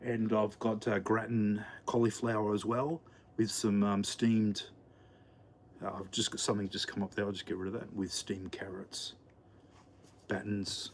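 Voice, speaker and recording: A man's voice: fairly low pitch, flat delivery, unhurried, slight background noise. An Australian man hosting a casual livestream from his home kitchen, chatting to viewers while he cooks, rambling with lots of fillers and restarts. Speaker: livestream host. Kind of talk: casual live chat while cooking.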